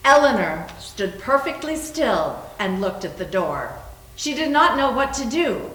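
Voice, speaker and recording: A woman's voice: high-pitched, a bit bright. A woman giving a dramatic scripted narration as a readers theater performer. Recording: echoey room, distant mic.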